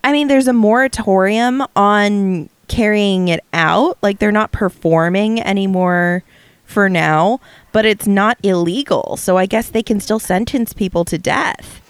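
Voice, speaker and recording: A woman's voice: medium pitch, unhurried, clear, resonant tone, dry recording. A woman co-hosting a casual podcast, chatting with many ums and restarts. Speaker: podcast co-host.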